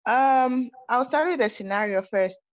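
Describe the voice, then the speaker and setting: A woman from Zambia speaks in a fairly high voice as a congregant with a question. She is hesitant and respectful. The video-call audio is slightly dull. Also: unhurried.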